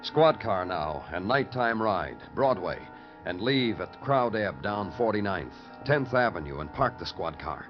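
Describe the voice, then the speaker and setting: Casting: hardened American homicide cop, low voice, brooding poetic narration, vintage radio drama sound with music behind. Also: male voice, sing-song, unhurried. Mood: grim, weary. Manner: terse, clipped fragments, poetic and world-weary. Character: hardened, brooding male New York homicide detective, narrating his cases. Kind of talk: 1950s radio noir acting and poetic narration. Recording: muffled old radio recording with music.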